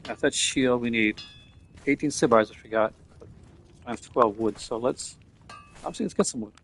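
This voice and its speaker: A man's voice: mid-range voice, normal speed, a bit bright, slight background noise. A man with a Newfoundland accent, chatting casually and offhandedly as he streams a game. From Newfoundland, Canada. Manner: rambling, offhand, talks while playing. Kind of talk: casual gameplay live-stream chat.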